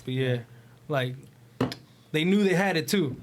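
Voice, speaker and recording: A man's voice: a little high, expressive, unhurried. A young man in casual podcast chat, speaking in slang with some swearing. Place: small indoor room, group around table. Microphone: desk podcast microphone. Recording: slightly noisy small-room podcast recording.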